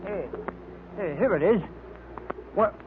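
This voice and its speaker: An actor playing a plain-spoken, easygoing detective's sidekick in a radio drama, with folksy informal talk. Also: male voice, sits high, expressive, takes it slowly, phone-quality sound. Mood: alert. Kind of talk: 1930s-40s radio drama acting. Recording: thin, noisy vintage radio recording.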